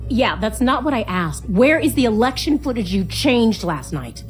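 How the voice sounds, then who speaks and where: Sardonic newsroom worker, very expressive. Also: female voice, fairly high pitch, normal speed, faint music behind. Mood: alarmed, incredulous. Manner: wry, muttering, casual asides. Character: sardonic, curious female TV news station employee. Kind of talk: naturalistic audio drama acting.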